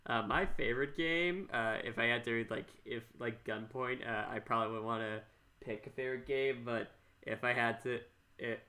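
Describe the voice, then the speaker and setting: A young man chatting casually as a podcast host, halting and often repeating his words. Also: medium pitch, pushed and bright.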